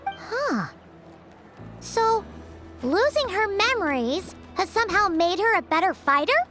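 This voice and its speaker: A tiny, perky fairy girl from a video game. The voice is extremely high and swoops around, chirpy and full of questions, with music clearly behind it. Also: sing-song, slow pace, a bit bright, exaggerated voice. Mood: concerned, surprised. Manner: chirpy, inquisitive. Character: small, perky, curious floating fairy-like girl companion.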